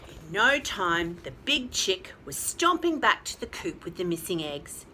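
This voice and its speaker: A woman's voice: fairly low pitch, big pitch swings, a little slow, pushed and bright, a little hiss or hum. A woman reading a picture book expressively to young children and explaining things simply. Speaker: children's storyteller.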